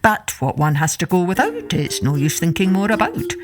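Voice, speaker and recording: Storybook tramp, male, crafty and persuasive, fond of proverbs, very varied pitch; woman narrator acts him out. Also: wildly swooping pitch, normal speed. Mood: grandly persuasive. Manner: musing, coaxing, sententious. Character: wily male tramp, smooth-talking sly trickster. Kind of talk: children's folktale storytelling.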